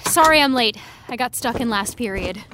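A woman playing an awkward, evasive high school senior, hesitant and apologetic, in a fiction podcast. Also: lively intonation, normal speed, background noise, lightly acted. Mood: awkward, defensive. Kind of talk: scripted audio drama dialogue.

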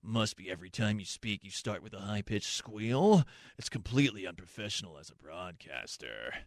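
A man reading out a listener's complaint in a put-on deep, slow, scolding voice. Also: expressive, no room sound, studio quality. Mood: scornful. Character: grumpy male radio listener, scornful, deep.

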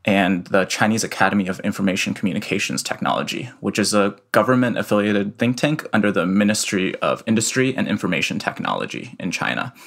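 A young man from the United States answering interview questions from home in a measured, explanatory way with some fillers. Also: lowish voice, vocal fry, a bit bright. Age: young adult. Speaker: guest, researcher.

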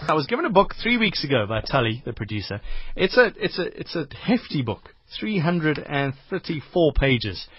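A man's voice: fairly high pitch, sing-song, normal speed, husky, no echo, muffled recording, soft background music. A man hosting a radio sports show, asking casual questions in a studio. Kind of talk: radio interview.

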